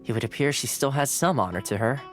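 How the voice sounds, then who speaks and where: A man's voice: mid-range voice, fast talker, lightly acted. A man playing an easygoing, polite young retainer in an anime-style video game. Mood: thoughtful. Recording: faint music behind the voice.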